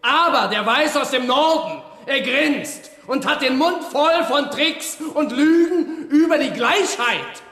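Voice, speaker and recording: Radio drama: angry militant speaker, very high voice, defiant, ringing through a reverberant hall. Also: male voice, animated, slow pace, hardly any pauses, full character voice. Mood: scornful. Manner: sharp, defiant, shouting at times. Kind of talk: fiery public speech in a radio drama. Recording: echoey hall with long reverb.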